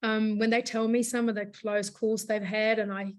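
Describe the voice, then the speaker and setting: Hoarse woman, chatty business founder. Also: on the high side, level tone, normal speed. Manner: rambling, many fillers and restarts. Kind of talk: casual interview chat.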